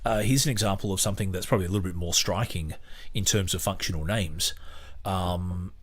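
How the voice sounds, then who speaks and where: A man hosting a podcast, giving casual, rambling commentary on a book in a halting, sardonic manner. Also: sits low, a bit bright. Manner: halting, sardonic, mock-surprised at times. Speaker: podcast host.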